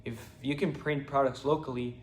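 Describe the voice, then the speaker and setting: A man's voice: mid-range voice, normal speed, roomy sound. Young man giving a class presentation. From Ecuador. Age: young adult. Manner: steady, prepared delivery with occasional stumbles. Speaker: finance student presenting.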